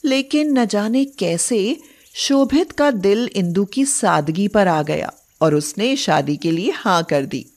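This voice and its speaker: Slow-paced woman narrating a story. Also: medium pitch, lively intonation, a little mellow.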